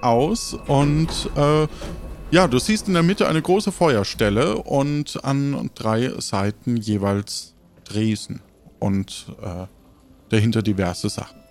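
A man's voice: mid-range voice, takes it slowly. A man narrating an improvised audio adventure game as its game master.

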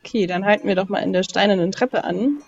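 A woman's voice: medium pitch, fast talker, creaky, a little mellow, dry recording, dull audio. A woman in casual role-play as a game player, thinking aloud a little tentatively as she decides what to do.

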